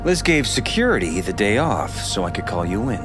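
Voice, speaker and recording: A lively-pitched, polished politician. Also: male voice, moderate pace. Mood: puzzled, uneasy. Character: polished, troubled male politician confiding in an investigator. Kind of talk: video game voice acting. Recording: music behind.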